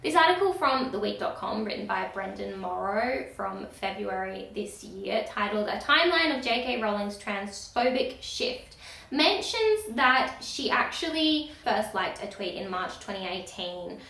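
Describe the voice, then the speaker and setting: A woman giving outspoken, emphatic commentary in a video blog, talking loudly and firmly about what she stands for. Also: mid-range voice, very animated, a little slow, gravelly, echoey room. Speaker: video creator. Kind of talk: opinionated commentary vlog.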